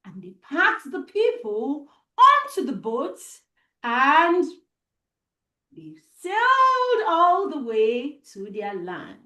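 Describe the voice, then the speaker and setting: A woman with a Nigerian accent telling a story live to children, deliberate and expressive, with dramatic pauses and repetition, as host. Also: high voice. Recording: roomy, echoey sound.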